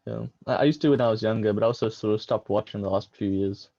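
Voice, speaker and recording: Man, low voice, dark and mellow, dry muffled sound, calculating chess lines. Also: fast, vocal fry, no room sound. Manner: thoughtful, works through move lines aloud. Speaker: chess commentator. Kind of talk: chess commentary, calculating variations.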